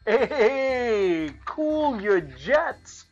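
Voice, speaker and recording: Lady doing mild sensible kid mackerel boy for a storybook, higher voice, bit muffled. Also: very animated, extremely slow, darkish tone, faint music behind, heavily acted. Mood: chiding.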